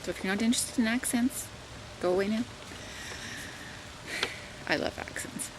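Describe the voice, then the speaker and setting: A woman's voice: fairly high pitch, normal speed. An older woman from Canada with a Southern Ontario accent, chatting casually to camera as a vlogger and teacher, rambling with many fillers and asides. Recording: home recording with clear background noise.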